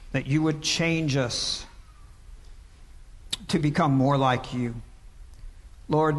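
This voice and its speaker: An older man, a pastor, preaching a sermon and telling a story in an earnest, gentle way. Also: on the high side. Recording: reverberant church sanctuary.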